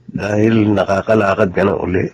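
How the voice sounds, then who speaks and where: A man playing a loyal, earnest household driver in a Filipino radio drama, speaking gently and respectfully in a slightly husky voice. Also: fairly low pitch, flat delivery, moderate pace, lightly acted, poor audio. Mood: tender, consoling.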